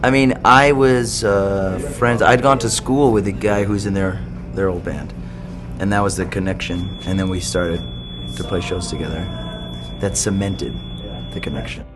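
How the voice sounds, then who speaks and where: Soft-spoken man telling a story. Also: low-pitched, steady tone, moderate pace, music in the background. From New York City. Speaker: band member. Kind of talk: casual interview storytelling.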